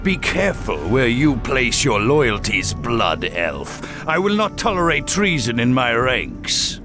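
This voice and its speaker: A fantasy game army commander, stern and full of contempt. His delivery swings widely in pitch while keeping a slow, deliberate pace. Prominent music plays behind him. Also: very animated. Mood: disgusted, stern. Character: haughty human military commander, bigoted and harsh. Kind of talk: dramatic fantasy video game acting.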